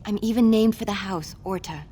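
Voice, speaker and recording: A woman's voice: medium pitch, fairly quick, dark tone, slight background noise, close to an everyday voice. Game role: actress as shy bookish young dwarf woman, apologetic and earnest, middle register. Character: shy, hopeful young female dwarf scholar. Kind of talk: video game character acting.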